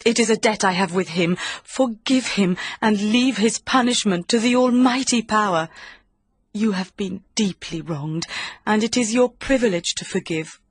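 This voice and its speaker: Anguished, pleading woman, husky and dry. Female actor. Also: medium pitch, normal speed, no room sound, natural voice. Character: anguished, defiant adult woman.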